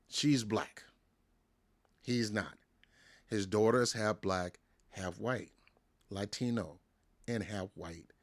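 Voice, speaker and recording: An American man hosting a wrestling show, ranting in a casual, emphatic way, repeating himself and restarting his words. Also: lowish voice. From United States. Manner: emphatic, repetitive, stumbles and restarts. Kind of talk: casual opinion rant to camera.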